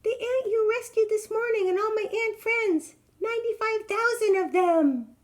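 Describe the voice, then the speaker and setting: Wee little ant for a kids story, super high thin voice, eager and rallying. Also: female voice, normal speed. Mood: eager, earnest. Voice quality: small, thin voice. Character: tiny eager helpful ant, wee small voice. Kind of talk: children's storybook character voice.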